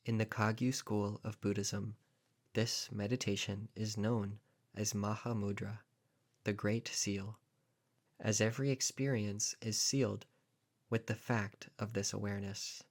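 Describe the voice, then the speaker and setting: Low-voiced man guiding a meditation. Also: flat delivery. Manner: measured, deliberate phrasing with pauses. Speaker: meditation teacher. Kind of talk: guided meditation narration.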